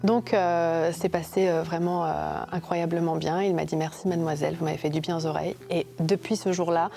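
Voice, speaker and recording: A fado singer, a woman from Portugal's Algarve, telling her story in an unhurried, reflective way in a documentary interview. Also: lowish voice, flat delivery, vocal fry, warm tone.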